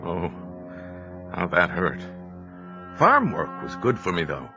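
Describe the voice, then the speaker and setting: Earnest old-time farm fella in an audio drama, lowish voice, really lively intonation, reflective. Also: male voice, slow pace, dull audio, full character voice. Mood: wistful. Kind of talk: audio drama first-person narration. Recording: music behind the voice.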